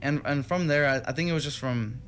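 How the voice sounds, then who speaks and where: A man's voice: a little high, fairly quick, fairly bright tone. A young man from Texas, a music student guest, chatting casually in an interview with many fillers and restarts. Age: young adult. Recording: radio studio with faint music behind.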